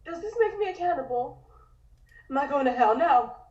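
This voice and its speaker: Very high, fretful woman acted in a read. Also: lively intonation, normal speed, a little mellow. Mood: disgusted panic. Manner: whimpering, fretful. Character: squeamish, panicking, pious young woman in comic horror. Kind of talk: comic table read. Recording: roomy, distant, dull sound.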